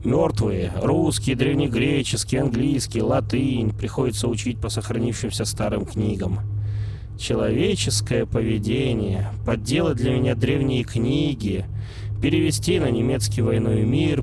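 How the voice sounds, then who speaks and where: A man's voice: medium pitch, moderate pace, lightly acted. A man voicing a proud, suspicious male sphinx who lectures in a haughty, grumbling way. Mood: lecturing. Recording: loud background noise.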